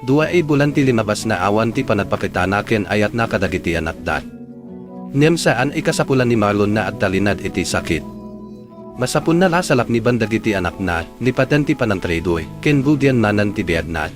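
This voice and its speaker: Low Ilocano male narrator over music. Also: very animated, a little fast. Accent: Filipino, Ilocano. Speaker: radio drama host and narrator. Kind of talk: scripted narration with advice. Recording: clear music bed behind narration.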